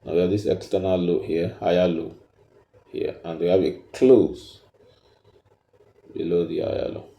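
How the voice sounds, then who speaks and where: A man giving a step-by-step tutorial, explaining haltingly and repeating himself as he points things out. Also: low-pitched, steady tone, creaky, dark tone, reverberant. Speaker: trading tutor.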